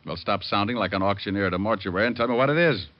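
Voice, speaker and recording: Man acting cocky private eye, lowish voice, wry, flippant, old radio detective show, muffled recording. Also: very fast, natural voice. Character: wisecracking, cocky male private detective, loyal ex-cop. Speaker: detective and narrator. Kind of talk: 1940s-50s radio detective drama acting.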